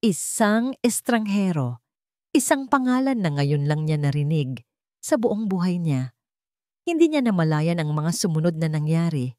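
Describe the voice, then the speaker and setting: A woman narrates a romantic drama in a lowish voice, solemn and full of feeling. She phrases deliberately, like a storyteller, and the recording is dry and close. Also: animated, a little mellow, no echo.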